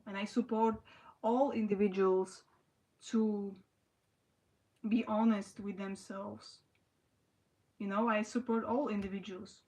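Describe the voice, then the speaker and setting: Halting young woman livestreaming. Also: medium pitch, darkish tone, poor audio. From Slovakia. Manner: halting, restarts often, frequent "you know". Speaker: livestream host. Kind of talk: casual livestream chat answering viewers.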